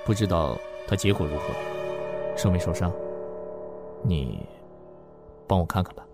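心事重重的低沉男声，配乐明显. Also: male voice, deep voice, expressive, unhurried, gravelly, over loud music, heavily acted. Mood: troubled, hesitant. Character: guilt-ridden, secretive, anxious man hiding a crime. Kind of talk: radio suspense drama acting and narration.

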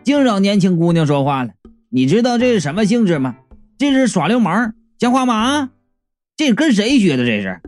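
Man playing a blustering, animated scold. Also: fairly high pitch, fairly quick, soft background music. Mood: indignant scolding. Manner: indignant, hectoring questions.

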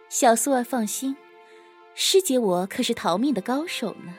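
An unhurried, highish female voice over soft music. a senior assassin in a costume audio drama, teasing and self-assured, with a wistful side. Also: lively intonation. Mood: playful.

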